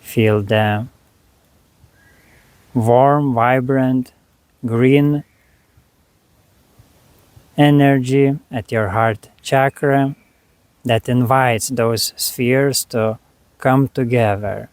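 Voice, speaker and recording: A man leading a guided meditation, speaking to the listener in short, unhurried phrases with long pauses between them. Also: medium pitch, steady tone, darkish tone, smooth, no room sound.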